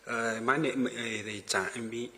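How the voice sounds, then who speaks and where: A man's voice: mid-range voice, fairly even intonation, measured pace, bright, forceful tone. A man from a company giving a short introduction with fillers. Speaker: company staff. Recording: reverberant meeting hall.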